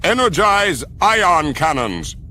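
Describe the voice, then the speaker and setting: Villainous space-fleet captain: high, forceful, slow deliberate commands, audio drama. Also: male voice, big pitch swings, a little hiss or hum, heavily acted. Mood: commanding, menacing. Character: cold commanding male Imperial starship captain, villain.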